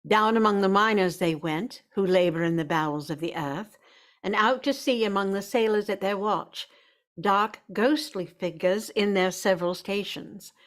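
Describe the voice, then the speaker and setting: A woman with a medium-pitched voice narrates a scripted radio drama. Her delivery is measured and literary, that of a storyteller reading a classic tale.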